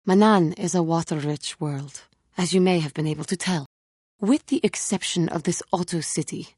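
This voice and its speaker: A woman voicing an earnest, troubled young female Jedi warrior in a video game, speaking formally and with measured care in a husky voice. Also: lowish voice, darkish tone, no echo, studio quality. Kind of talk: scripted game character dialogue.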